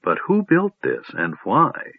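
A man voicing an excitable, curious, childlike male kender in a storybook reading, talking in eager bursts. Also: fairly low pitch, takes it slowly, gravelly, husky, dry recording, heavily acted. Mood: wondering. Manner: breathless, eager exclamations. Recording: dry tinny phone-like audio.

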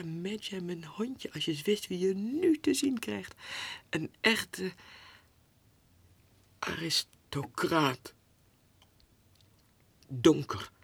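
A man voicing an excited, infatuated young lady who gushes dramatically in a 1960s radio drama. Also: on the high side, vocal fry, slightly rough, voice pushed up, exaggerated voice.

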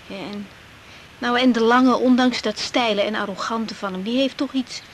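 An actress plays a young woman doctor in an eighties radio drama. Her voice sits a little high and she speaks earnestly and naturally. The recording carries clear background noise. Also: normal speed, lightly acted. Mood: confiding.

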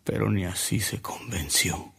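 An actor playing a frail, remorseful old man who narrates his past in a radio drama, slowly and reflectively, with a husky, gravelly voice. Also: lowish voice, fairly bright tone, exaggerated voice. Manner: slow, reflective, with coughs and pauses.

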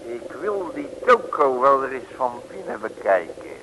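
An actor playing a brash, unflappable, excitable detective in a comic Dutch radio serial, with blustery, colloquial delivery. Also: male voice, medium pitch, a little slow. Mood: excited, amazed. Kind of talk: 1950s-60s comic radio drama acting. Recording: hissy old radio recording, long reverb.

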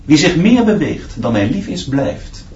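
A man's voice: a little high, sing-song, unhurried, a little mellow, recorded from a distance. A man playing a strict, unbending schoolteacher in a radio drama, giving curt commands. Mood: stern, commanding. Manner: barking curt commands and punishments. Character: strict new male schoolteacher, hard and unbending. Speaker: actor in a radio play. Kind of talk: Dutch radio drama acting. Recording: dull, noisy, echoey classroom sound from off.

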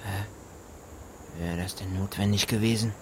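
Rural gamekeeper, lowish voice, gruff, evasive, clipped colloquial delivery, radio play, background noise. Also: male voice, steady tone, slow pace, a little mellow. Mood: blunt, callous. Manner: clipped, offhand, colloquial phrasing. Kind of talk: German radio drama acting.

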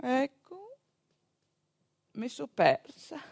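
A woman with a northeastern Italian accent telling a theatrical monologue in an expressive, conversational manner. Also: high voice, drawn-out words, creaky, breathy edge, no room sound. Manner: expressive, conversational, with rhetorical questions and asides. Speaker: stage actor and storyteller.

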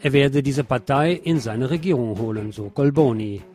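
A man's voice: mid-range voice, moderate pace. Man, radio news presenter, scripted commentary, wry and sardonic, faint guitar music behind.

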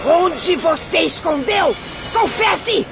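A woman's voice: extremely high pitch, expressive, takes it slowly, over music, heavily acted. A woman with a Brazilian accent playing a cruel, bullying middle-aged mother, harsh and accusing, in a radio drama. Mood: accusing, furious. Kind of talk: Portuguese-language radio drama acting. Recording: muffled, noisy street crowd behind.

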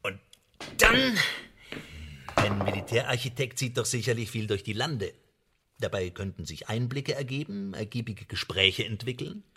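Smug, menacing low-voiced spymaster. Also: male voice, sing-song, normal speed, bright, forceful tone, a little hiss or hum, exaggerated voice. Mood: coaxing. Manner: taunting, theatrical, swinging emphasis. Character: menacing French spymaster, smug, mock-friendly blackmailer. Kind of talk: radio play acting.

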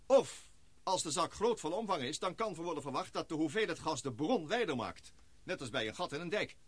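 An actor playing a formal male expedition leader and scientist who speaks in measured, speechmaking tones in a radio play. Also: on the high side, lively intonation, a bit bright, breathy edge, no echo. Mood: explaining.

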